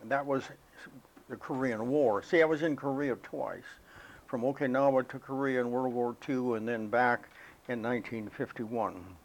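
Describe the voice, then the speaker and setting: An elderly American man recalling his life as a veteran in an interview, speaking in a halting, reflective, unhurried way. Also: mid-range voice, low-quality recording. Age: elderly, 80s or older. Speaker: interviewee, war veteran recalling military service. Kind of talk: oral history interview, personal recollection.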